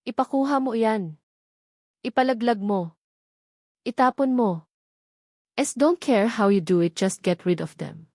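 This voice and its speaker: A woman's voice: fairly high pitch, expressive, unhurried, long pauses, a little mellow, no room sound, studio quality. A woman voicing a cold, cruel young man in a story, with a menacing, emphatic, dismissive manner. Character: cold, cruel young man rejecting his pregnant lover.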